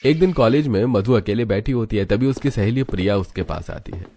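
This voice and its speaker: A man's voice: fairly low pitch, brisk, warm tone. A man narrating a scripted story as its narrator.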